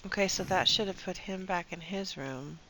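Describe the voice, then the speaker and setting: A woman's voice: lowish voice, normal speed, background noise. A woman game streamer giving casual, chatty live commentary as she plays. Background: game music.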